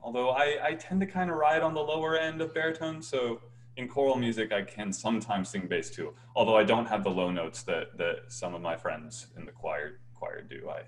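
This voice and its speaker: A man's voice: fairly low pitch, moderate pace. A young man with a light voice, a choir singer talking casually and animatedly on a video call from a small room, often restarting his sentences and laughing. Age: young adult. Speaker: choir bass singer, guest. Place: small bright room at home.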